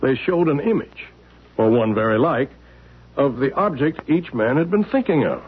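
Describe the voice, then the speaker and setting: An actor playing a calm professor who explains things in a measured way in an old radio drama. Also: male voice, mid-range voice, a little hiss or hum, lightly acted. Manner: measured, lively storytelling delivery. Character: calm older professor neighbor, gentle and explanatory. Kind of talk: 1970s radio drama acting. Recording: tinny lo-fi old radio broadcast.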